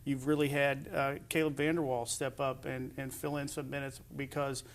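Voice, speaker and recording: A man's voice: mid-range voice, little pitch movement, moderate pace, slight background noise. A middle-aged American man hosting a conversational sports interview, asking long, somewhat hesitant questions. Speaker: host of a high school sports interview show.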